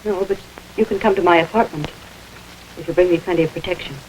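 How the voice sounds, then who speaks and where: An actress playing a cold, insistent gangland informer in an old-time radio crime drama. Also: female voice, lowish voice, fast, a little mellow, lightly acted. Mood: wary. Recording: noisy vintage radio recording.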